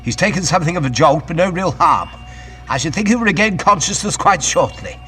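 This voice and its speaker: An actor playing a brisk, authoritative scientist-adventurer in an audio drama, explaining and commanding in an old-fashioned gentlemanly way. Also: male voice, a little high, lively intonation, faint music behind. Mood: explaining, assured.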